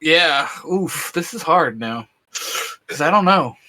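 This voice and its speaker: A man co-hosting a casual podcast chat, excitable and rambling, laughing often. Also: on the high side, slow pace, noisy background. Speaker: co-host.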